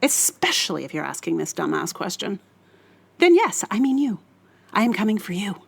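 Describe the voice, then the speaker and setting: A woman performing a scripted dramatic monologue in a deliberate, theatrical and menacing manner. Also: medium pitch, sing-song, gravelly, slightly rough. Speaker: writer reading own monologue.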